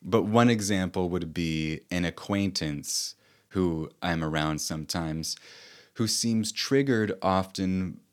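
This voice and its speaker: Deep-voiced male author-host, soft-spoken and thoughtful, speaks slowly with pauses. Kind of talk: reflective personal talk.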